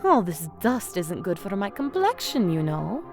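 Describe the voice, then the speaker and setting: A haughty female crime boss in an audio drama. She is silkily menacing and condescending, with expressive, swooping pitch. Music plays clearly behind her. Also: unhurried. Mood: smug menace. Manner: theatrical, condescending, mock-polite.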